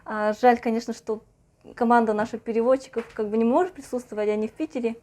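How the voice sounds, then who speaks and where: A woman's voice: fairly high pitch, steady tone, quick pace, mellow. A woman talking casually, in an everyday conversational style. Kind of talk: casual chat. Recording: some background noise.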